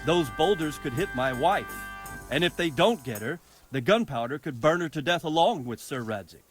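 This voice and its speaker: An actor playing a grave, world-weary wounded medieval lord in a video game. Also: male voice, a little high, animated, normal speed, natural voice. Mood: indignant. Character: weary, wounded medieval lord fretting over his castle. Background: music.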